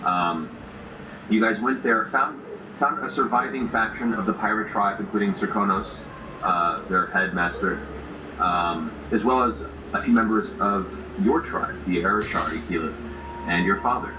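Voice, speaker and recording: Male game master, low register, ornate narration with pauses. Distant mic, clear background noise. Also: reverberant, dull audio.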